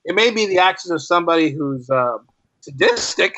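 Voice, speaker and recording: A man's voice: on the high side, brisk, dry recording, highs cut off. A man in a casual interview, explaining his thoughts as a writer and guest, often repeating phrases for emphasis. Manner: animated explaining, repeats phrases, acts out imagined quotes.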